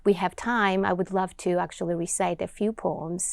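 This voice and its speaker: Soft-spoken woman, dry close sound. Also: fairly low pitch, fairly even intonation, creaky, no room sound. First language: Georgian. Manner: gentle, earnest, soft-spoken with slow recited lines. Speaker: poet and author, interview guest. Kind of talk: conversational interview with poetry recitation.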